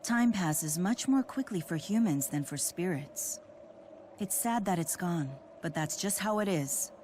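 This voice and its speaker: Low, aloof ancient ice spirit. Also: female voice, expressive, a little slow, darkish tone, slight background noise. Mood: wistful. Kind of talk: video game cutscene voice acting. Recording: game music behind the voice.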